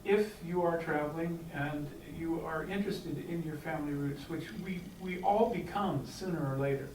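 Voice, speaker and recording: A man's voice: a little high, moderate pace, a little mellow. Hesitant man speaking up in an echoey hall. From United States. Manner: hesitant, many fillers and restarts. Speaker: clan member speaking from the floor. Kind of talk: unscripted remarks at a meeting. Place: meeting room. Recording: echoey hall, distant mic, faint hiss.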